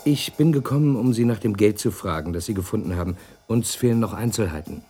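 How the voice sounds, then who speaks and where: A man's voice: lowish voice, quick pace, music in the background, natural voice. An actor playing a young policeman making polite, businesslike inquiries in a German radio crime drama.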